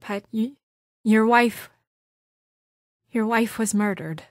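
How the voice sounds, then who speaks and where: A woman playing a composed but anxious, dutiful young office administrator in a naturalistic video game drama, speaking in a measured, guarded, earnest way. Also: on the high side, no room sound, clean studio sound. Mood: urgent, pleading.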